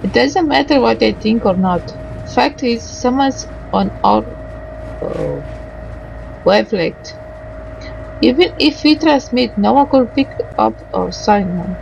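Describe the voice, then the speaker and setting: A woman doing let's play commentary, reading game dialogue aloud haltingly and adding muttered asides. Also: a little high, animated, creaky, darkish tone, lo-fi sound, a little hiss or hum.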